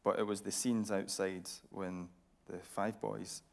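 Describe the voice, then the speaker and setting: A man interviewing on stage as a presenter, putting questions in a measured journalistic style. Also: low-pitched, level tone, gravelly. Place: radio theatre stage with an audience.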